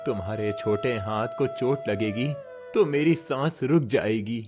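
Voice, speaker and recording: A man's voice: medium pitch, unhurried, over music, lightly acted. A man acting a poor, weary but resolute village father, earnest and comforting, in a cartoon moral story. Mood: solemn, reassuring.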